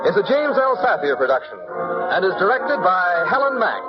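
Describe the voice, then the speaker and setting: A man's voice: sits high, big pitch swings, moderate pace. A male radio announcer speaking in a formal, declamatory vintage broadcast style. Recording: muffled old radio broadcast over music. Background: loud music.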